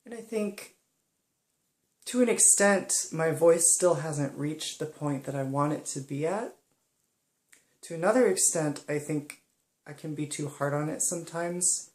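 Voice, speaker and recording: A young woman speaking softly and hesitantly in a personal monologue, pausing often. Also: low-pitched, echoey room. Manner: soft-spoken and hesitant, many pauses and ums. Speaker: vlogger.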